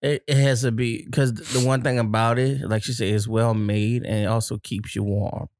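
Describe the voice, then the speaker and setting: A man's voice: mid-range voice, level tone, normal speed, a little mellow, no room sound, clean studio sound. A man co-hosting a casual chat, teasing his partner in a laid-back, slangy way. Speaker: podcast co-host.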